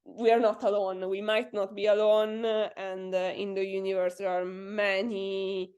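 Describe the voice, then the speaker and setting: A woman's voice: medium pitch, little pitch movement, a little slow, darkish tone, clear, resonant tone, dull audio. Hesitant young woman in a science interview. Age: 20s. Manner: thoughtful, hesitant, many fillers. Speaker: guest astrophysics PhD student. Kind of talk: casual interview chat.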